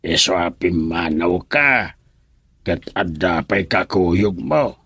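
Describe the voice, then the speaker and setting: A patient old grandfather in a radio drama. He speaks extremely slowly, in a gravelly, husky voice. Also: deep voice, expressive, sharp tone, no room sound, studio quality, exaggerated voice. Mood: patient, advising. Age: older.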